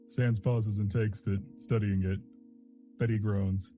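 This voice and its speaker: A man's voice: fairly low pitch, fairly even intonation, takes it slowly, warm tone, faint music behind. A man reading fan fiction aloud casually, with dry, wisecracking asides. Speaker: voice actor, co-reader.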